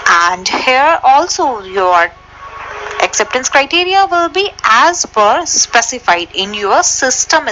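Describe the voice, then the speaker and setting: Slow woman instructor over music. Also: on the high side, pushed and bright, low-quality recording. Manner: addresses listeners directly, step by step. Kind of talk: slide-based technical lesson. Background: background music.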